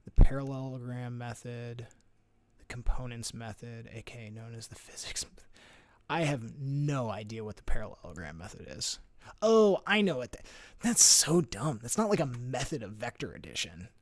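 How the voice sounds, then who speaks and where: A man's voice: mid-range voice, sing-song, moderate pace, no echo. A man tutoring physics over a screen share in a casual way, thinking aloud with lots of fillers and restarts. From United States.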